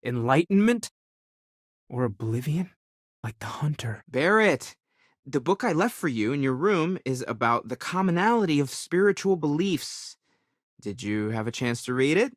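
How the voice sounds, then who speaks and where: Earnest bookish space explorer, dry recording. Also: male voice, a little high, very animated, moderate pace, no room sound. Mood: earnest, thoughtful. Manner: thoughtful, earnest, conversational. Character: earnest, bookish young male spiritual scholar and space explorer. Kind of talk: video game character dialogue.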